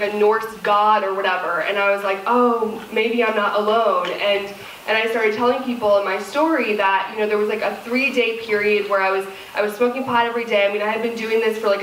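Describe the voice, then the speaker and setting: A woman's voice: medium pitch, fairly even intonation, a little fast, fairly bright tone. A woman speaking as a panelist in a candid, informal, slangy and emphatic way. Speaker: panelist sharing personal recovery story. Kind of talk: casual, candid personal talk. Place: live panel event on a lit stage. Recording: echoey room, distant mic, clear noise.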